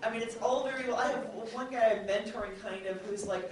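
A woman speaks as a chatty, animated panelist, addressing an audience without a script. She sits away from the microphone in a heavily reverberant room, with a faint layer of noise around her voice. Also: on the high side, fast talker. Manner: chatty, animatedly mimics others in quoted speech. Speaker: podcast producer speaking as panelist. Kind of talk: unscripted panel talk to an audience. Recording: very echoey room, distant mic, faint noise.

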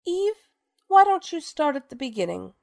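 An actress playing a famous, sharp-tongued theater star in a radio drama, with a grand, theatrical, sardonic manner. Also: female voice, high voice, big pitch swings, normal speed, gravelly, dry recording, studio quality, full character voice. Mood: grandly gracious.

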